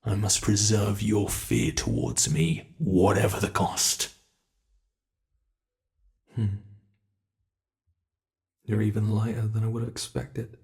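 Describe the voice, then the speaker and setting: Smug ancient monster by a man, british, slow gravelly drawl, close mic. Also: on the low side, darkish tone. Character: ancient predatory male monster, gleeful and cruel. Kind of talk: ASMR horror roleplay.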